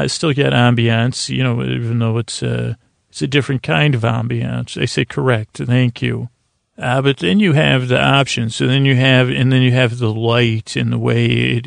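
Male podcast host, heavy gravelly creak, close dry sound; halting, meandering bedtime monologue. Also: mid-range voice, no room sound. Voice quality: creaky, slightly smoky. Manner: meandering, halting, self-deprecating. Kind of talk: rambling, soothing bedtime monologue.

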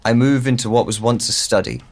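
A man's voice: medium pitch, steady tone, fairly quick, lightly acted. An actor playing a bewildered, lonely man with lost memory in a hesitant, introspective audio drama monologue. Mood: pensive, uneasy. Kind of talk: slow first-person audio drama monologue.

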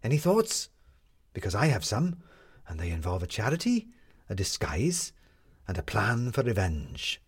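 Man reading as a brisk, husky, bossy woman reporter. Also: on the low side, wildly swooping pitch, exaggerated voice. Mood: rallying. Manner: emphatic, challenging, rhetorical questioning. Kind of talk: storybook character dialogue.

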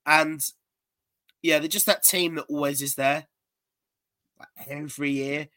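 A man's voice: on the high side, steady tone, a little slow, sharp tone. A young man co-hosting a casual football podcast, rambling along and repeating words as he restarts his sentences. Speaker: podcast co-host.

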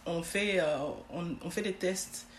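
A woman's voice: on the low side, unhurried, a little hiss or hum. Hesitant woman, echoey room. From born in Congo, raised in Belgium. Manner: hesitant, many "euh" fillers, earnest. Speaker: podcast guest. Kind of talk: interview answers. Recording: echoey room with faint ambience.

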